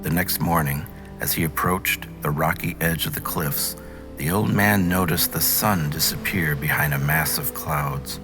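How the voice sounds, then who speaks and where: A man's voice: rumbling low, unhurried, over music. A man reading a fairy tale aloud as a storyteller, in scripted narration.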